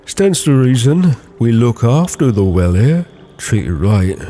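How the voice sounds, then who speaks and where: Man putting on a slurring, lively young tippler. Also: medium pitch, unhurried. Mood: tipsy, insistent. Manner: slurred, folksy. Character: tipsy young man, cider-drinking local pub-goer.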